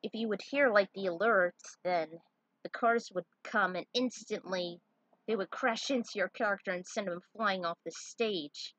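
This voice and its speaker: Husky-voiced woman reading a story. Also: medium pitch, normal speed, a bit bright, no echo, poor audio. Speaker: fan fiction writer reading their own story. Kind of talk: story narration with casual asides.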